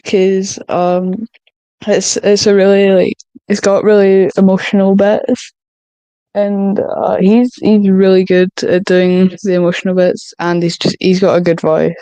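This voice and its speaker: Smooth, mellow woman with flat delivery. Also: mid-range voice, a little slow, no room sound. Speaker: podcast guest. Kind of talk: casual chat.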